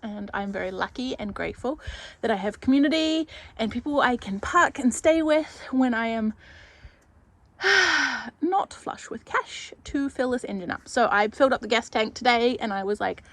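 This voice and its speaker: Casual vlogger woman, slightly high voice, nasal and congested, chatty and thinking out loud. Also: expressive, normal speed, no echo. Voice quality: nasal, stuffed up. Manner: chatty, savoring, thinking aloud. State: congested with a cold. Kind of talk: casual vlog chat.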